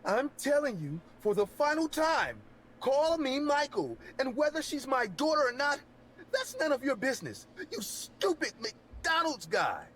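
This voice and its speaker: A man voicing a creepy adult man who fakes a high, breathy pop-star voice and erupts into screaming. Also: sing-song, normal speed, a little hiss or hum, rough recording. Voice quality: shrill, singsong falsetto.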